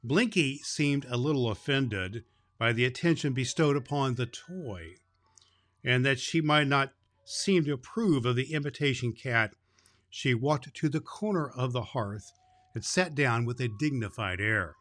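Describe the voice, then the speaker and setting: A man's voice: medium pitch, measured pace, a bit bright, dry recording. A man reading a children's story aloud as a storyteller, expressively, with small stumbles over the words.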